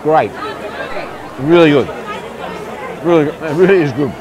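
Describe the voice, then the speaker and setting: A man's voice: on the high side, unhurried, muffled recording. An Australian man in his sixties hosts a vlog, chatting with enthusiasm at an outdoor night market on a lapel mic. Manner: enthusiastic, effusive. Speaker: vlog host. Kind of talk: casual on-the-street chat. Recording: loud crowd around, outdoors. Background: crowd chatter.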